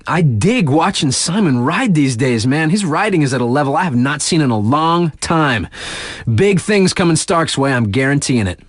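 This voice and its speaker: An animated, laid-back radio DJ guy. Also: fairly high pitch, no echo, clean studio sound. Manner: slangy, casual, emphatic. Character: laid-back, hyped young male radio DJ covering snowboard circuit. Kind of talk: breezy radio DJ patter for a video game.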